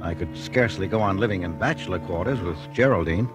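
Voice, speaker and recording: A man's voice: deep voice, fast, lightly acted. An actor playing a meek, nervous man, hesitant and easily flustered, in a 1940s radio drama. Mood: confiding narration. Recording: 1940s radio broadcast with music.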